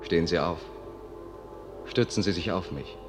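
An actor in a German radio play as a solemn, deliberate guide to a blind gentleman. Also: deep voice, lightly acted. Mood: grave, commanding. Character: male guide leading a blind, dignified companion. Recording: long reverb, loud music behind.

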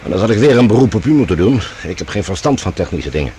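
Commanding low-voiced general. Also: male voice, big pitch swings, fast, dark tone, slight background noise. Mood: resolute, scheming. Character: authoritative, scheming male general, composed. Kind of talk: radio play acting.

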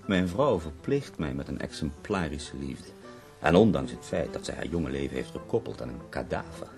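Very deep, gravelly dying poet. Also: male voice, very animated, moderate pace, darkish tone, faint music behind, exaggerated voice. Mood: wry. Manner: theatrical, ornate, ironic phrasing. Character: bedridden dying male poet, wry, morbid, eloquent. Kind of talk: radio drama acting.

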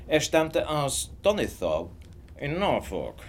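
An actor playing a shrewd, self-assured detective in a radio drama, speaking in a knowing, deliberate way. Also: male voice, fairly high pitch, wildly swooping pitch, slight background noise, exaggerated voice. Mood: knowing, reminiscing. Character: famous male detective, shrewd, self-assured, gently superior. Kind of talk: German radio drama acting.

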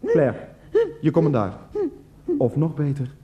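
A man playing a pompous, pushy TV game show host in a radio play, with theatrical, drawn-out delivery. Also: mid-range voice, dull audio, lightly acted. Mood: pushy, mock-solemn. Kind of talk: exaggerated showman presenting in a radio play.

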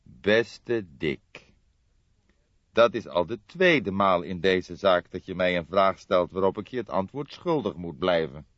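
A man voicing a calm, wry old police detective who speaks with careful deliberation, in an audiobook. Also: sits low, a little slow, no room sound, highs cut off. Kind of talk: audiobook character voice in a detective story.

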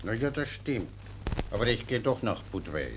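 An actor playing a naive, genial, imperturbable soldier in a German radio play, guileless, unhurried and obliging. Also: male voice, medium pitch, big pitch swings, a little hiss or hum, natural voice. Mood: placid, obliging.

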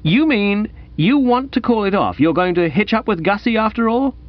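A man playing an amiable, dim, flustered upper-class young gentleman in a comic audiobook, bluff and eager. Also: sits high, animated, normal speed, highs cut off, pitched above the performer's own voice. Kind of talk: dramatised comic audiobook reading.

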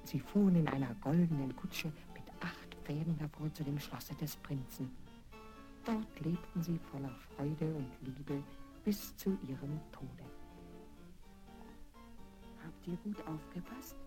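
A woman narrating a scripted fairy tale for children in an expressive, deliberate storytelling manner. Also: sits low, poor audio. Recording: vinyl record transfer with music.

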